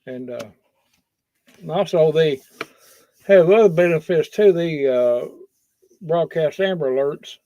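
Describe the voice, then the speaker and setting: A man talking casually and haltingly as a livestream host, in a folksy, unscripted way. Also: on the high side, mellow. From Kentucky, US. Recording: dull lo-fi sound, roomy echo.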